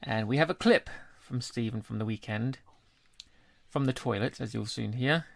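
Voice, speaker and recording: Gravelly-voiced man co-hosting a podcast. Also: medium pitch, moderate pace. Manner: jokey, laughs along. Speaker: podcast co-host. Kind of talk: casual chat and show links.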